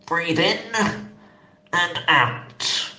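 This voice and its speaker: A man voicing a breathing coach who gives very slow, spaced-out instructions in an echoing space. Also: a little high, lively intonation, noisy background. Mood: instructive.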